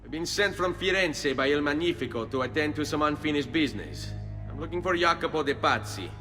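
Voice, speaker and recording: A resolute young assassin. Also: male voice, a little high, lively intonation, a little fast, bright, forceful tone, big-room sound, faint music behind. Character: young male Italian assassin, determined and steady. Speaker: lead hero.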